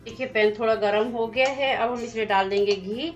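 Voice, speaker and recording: A woman hosting a home cooking channel, calmly explaining each step of a recipe as she goes. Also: on the high side, fairly quick. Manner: plain, instructive, walks through each step. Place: home kitchen at the stove. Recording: slightly dull lo-fi sound with faint music.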